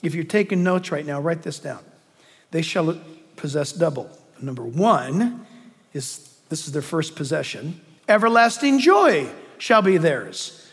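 High-voiced preacher man in a reverberant hall. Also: husky. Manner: dynamic, swings from hushed asides to loud emphatic reading. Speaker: pastor. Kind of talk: preaching a sermon with scripture reading. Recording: long reverb of a large hall.

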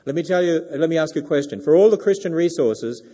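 A man's voice: on the high side, lively intonation, fast, dark tone. A man with an Australian accent giving a sermon to a church congregation on a handheld mic, folksy and dropping joking asides. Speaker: guest speaker. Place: church sanctuary. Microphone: wireless handheld microphone. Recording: reverberant hall.

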